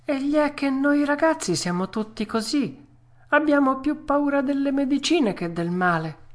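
Woman putting on a high-pitched stubborn little puppet boy. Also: expressive, moderate pace. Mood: chirpy, contrite. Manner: wailing, exclamatory, childish protesting. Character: stubborn whiny little wooden puppet boy, dramatic, childish. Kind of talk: storybook character voice.

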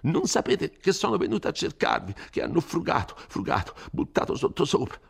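Guy doing a jittery old priest, way higher than his normal voice, stammering, kinda husky. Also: huge pitch swings, a little fast, exaggerated voice. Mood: alarmed, scolding. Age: older. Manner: stammering, flustered, pleading. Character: fretful elderly male village priest. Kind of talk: audiobook character voice.

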